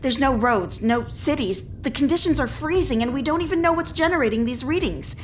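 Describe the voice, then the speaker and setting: A woman's voice: high voice, expressive, a little fast, a little hiss or hum. An actress playing a skeptical, practical, matter-of-fact woman in an audio drama. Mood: incredulous. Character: skeptical, practical female colleague.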